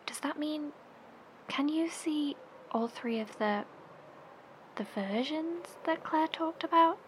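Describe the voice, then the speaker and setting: A woman playing an earnest, careful investigator in a horror audio drama, speaking softly and asking tentative questions. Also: high-pitched, slow, slight background noise, rough recording. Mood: tentative, concerned.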